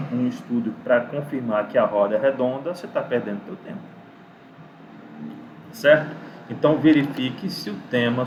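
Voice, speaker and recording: A man's voice: mid-range voice, background noise. A man giving an informal video lesson, talkative and didactic, asking himself rhetorical questions. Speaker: lecturer giving academic writing advice.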